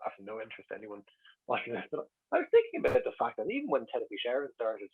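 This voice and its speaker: Irish male guest, husky and quick, casual reflective chat, narrow radio-like sound. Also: mid-range voice, wildly swooping pitch. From Ireland. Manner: wry, self-interrupting. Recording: muffled, radio-like call audio.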